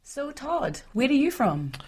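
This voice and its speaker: A woman's voice: high voice, sing-song, a little slow, darkish tone. A woman interviewer asking simple, short questions for an English listening lesson.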